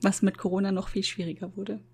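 Chatty woman, quick to laugh. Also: mid-range voice, little pitch movement, gravelly, a little mellow, husky. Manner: chatty, laughs often, self-deprecating. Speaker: university lecturer in Japan. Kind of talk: casual podcast chat.

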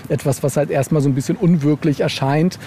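Bright-toned rambling man, slightly noisy recording. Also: fairly high pitch, fairly even intonation, brisk. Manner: rambling, tags phrases with "ne". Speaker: interviewed novelist. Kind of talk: casual podcast interview chat.

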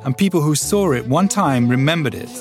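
A man's voice: fairly high pitch, expressive, a little fast, music in the background. A man hosting a podcast, narrating a scripted intro and then asking questions conversationally with fillers. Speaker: podcast host.

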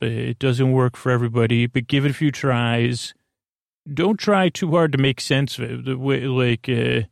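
A man hosting a sleep podcast in a creaky voice, lulling and soothing, meandering off into tangents. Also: mid-range voice, no room sound, clean studio sound. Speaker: podcast host. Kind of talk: rambling bedtime sleep-podcast monologue. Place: small closet.

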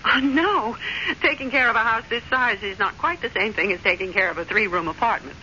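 An actress playing a prim, stubborn American wife in an old-time radio drama, clipped, chiding and quick to take offence. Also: female voice, fairly high pitch, expressive, pushed and bright. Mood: brisk, impatient. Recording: muffled, noisy old radio recording.

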